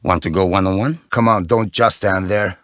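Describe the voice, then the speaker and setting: An actor playing a gruff, blunt criminal with a Russian accent in a video game. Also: male voice, deep voice, steady tone, normal speed, fairly bright tone, dry recording, clean studio sound. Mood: boisterous. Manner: blunt, informal. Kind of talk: video game character lines.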